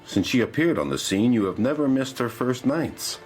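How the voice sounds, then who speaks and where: An actor playing a calm, perceptive confidant in a radio drama, knowing and gently probing. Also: male voice, medium pitch, moderate pace, soft background music, natural voice, low-quality recording. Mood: attentive, intrigued.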